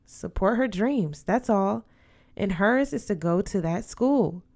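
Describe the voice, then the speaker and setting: A woman's voice: medium pitch, expressive, takes it slowly, a little mellow. A woman voicing an older godmother aunt in an audiobook, firm, affectionate and dutiful, counselling emphatically. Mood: firm, wise. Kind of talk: audiobook character voice.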